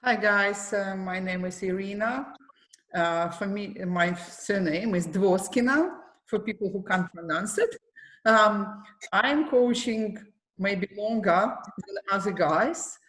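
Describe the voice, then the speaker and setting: A woman's voice: mid-range voice, a bit bright. A middle-aged woman from Ukraine with a Ukrainian accent, a para athletics coach answering panel questions in careful, non-native English. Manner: slow, careful phrasing with non-native grammar. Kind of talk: casual panel Q&A. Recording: roomy echo.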